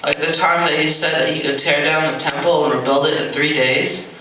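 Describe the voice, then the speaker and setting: A performer playing an easygoing, excitable male disciple in an amateur puppet show, with a playful manner. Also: mid-range voice, brisk, close to an everyday voice. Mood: subdued. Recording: very echoey, distant mic, clicks and noise.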